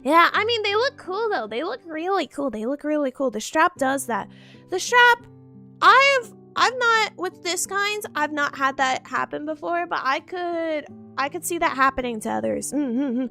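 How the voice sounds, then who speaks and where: A woman's voice: sits high, animated, a little slow, creaky, pushed and bright. A woman streamer chatting casually with her viewers, playful and giggly, repeating herself, groggy from just waking up. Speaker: VTuber streamer. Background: faint background music.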